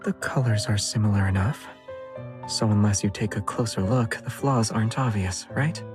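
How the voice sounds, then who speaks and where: A man playing a mild, sincere fantasy character with a measured, earnest manner. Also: fairly low pitch, natural voice. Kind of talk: character voice acting audition reel. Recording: music behind the voice.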